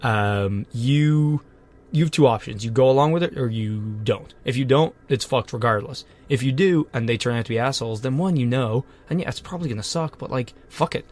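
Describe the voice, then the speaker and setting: A man's voice: medium pitch, a little fast, darkish tone. A young man co-hosting a podcast, riffing in casual, profane, joking chat from a small closet. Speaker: podcast co-host.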